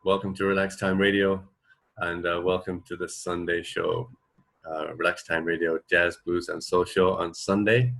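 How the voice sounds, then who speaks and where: A man's voice: low-pitched, level tone, normal speed, gravelly, a bit bright. A man hosting a radio show from home, chatting casually in a loose, hesitant, rambling way. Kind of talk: casual chat.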